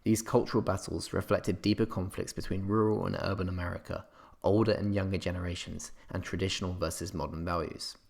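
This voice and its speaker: A man narrating a scripted history revision lesson as a tutor, explaining in a somewhat halting way. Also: sits low, level tone, gravelly, a little mellow.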